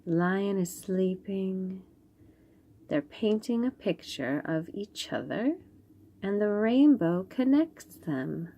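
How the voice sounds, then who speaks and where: A woman storyteller reading picture books aloud to young children, gently and with wonder, pausing to chat to the listeners. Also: mid-range voice, animated, dull audio. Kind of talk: expressive picture-book read-aloud with asides to young listeners.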